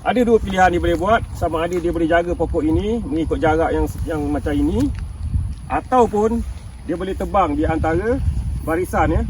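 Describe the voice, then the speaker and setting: A man's voice: fairly high pitch, a little mellow, far from the mic, a little hiss or hum, rough recording. A man in his fifties with a Malaysian Malay accent, chatting casually to viewers about his orchard as a farming channel host, laughing often and checking that they follow. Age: 50s. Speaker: durian farmer and channel host. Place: outdoors in a durian orchard.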